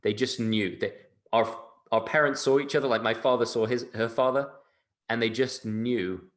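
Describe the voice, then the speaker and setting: A man's voice: mid-range voice, steady tone, normal speed. A man from England with a British accent, speaking emphatically as a guest in an interview, repeating phrases and often checking in with a tag question. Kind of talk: conversational interview talk.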